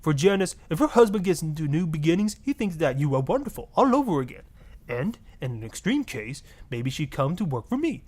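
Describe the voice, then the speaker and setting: A flamboyant fake-Latin love guru. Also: male voice, fairly high pitch, sing-song, a little fast, a little mellow, husky. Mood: earnest, dramatic. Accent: put-on Latin. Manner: grandiose, preachy, easily offended. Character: flamboyant self-righteous fake-Latin marriage guru and pimp. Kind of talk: parody radio talk-show guest.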